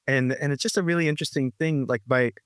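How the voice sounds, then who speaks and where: A man in his sixties talks reflectively as an interview guest, circling back with frequent restarts and fillers. His voice sits at an ordinary middle pitch. The sound is dry and close, with no room around it. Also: fast talker, a bit bright, clear, resonant tone, no room sound. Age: 60s. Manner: rambling, full of restarts and "you know, like". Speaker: guest musician.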